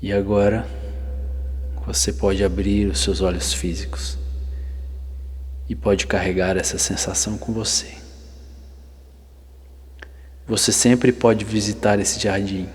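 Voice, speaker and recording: Deep-voiced Brazilian man guiding in long reverb. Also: level tone, a little mellow. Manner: soothing, deliberate, with long pauses. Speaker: guided meditation narrator. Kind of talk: ASMR guided visualization, scripted narration. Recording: long reverb, faint hiss and ambience.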